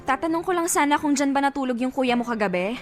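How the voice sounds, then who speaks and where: A woman's voice: sits high, animated, fast, soft background music. An actress playing a pregnant young woman who confronts her cheating partner in a radio drama. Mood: worried. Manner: accusing, escalates to shouting and crying.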